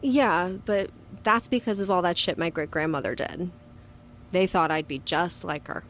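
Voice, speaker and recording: A woman's voice: lowish voice, moderate pace, a little hiss or hum, lightly acted. An actress playing a hot-tempered, wounded young woman in an audio drama, with a blunt, sweary way of talking. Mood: subdued, reflective. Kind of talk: audio drama acting and first-person narration.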